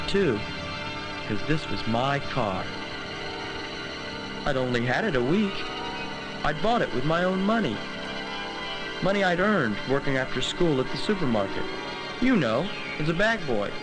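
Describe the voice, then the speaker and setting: A man's voice: on the high side, big pitch swings, a little slow, pauses often, low-quality recording. A young male actor playing an earnest, regretful teenage boy narrating an old educational film. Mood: nostalgic, proud. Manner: earnest, wistful. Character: earnest, regretful teenage boy recounting his last day. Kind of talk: dramatic first-person educational film narration. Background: loud music.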